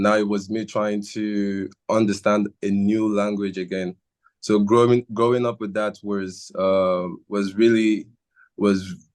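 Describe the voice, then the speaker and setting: Deep flat-voiced young man. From Nigeria. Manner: earnest, halting, circles back on his words. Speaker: musician guest. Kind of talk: casual interview answers.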